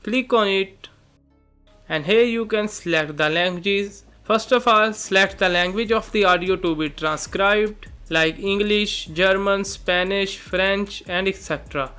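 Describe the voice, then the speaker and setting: A man with a notably high voice gives step-by-step software instructions. His tone is bright and forward, his phrasing simple, and music plays faintly underneath. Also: a little slow, clean tone. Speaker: software tutorial presenter. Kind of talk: step-by-step tutorial narration. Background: background music.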